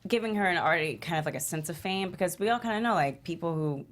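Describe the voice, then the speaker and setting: Low-voiced woman thinking aloud. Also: lively intonation. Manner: halting, filler-heavy, thinking aloud. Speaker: panelist giving script feedback. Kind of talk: casual workshop discussion.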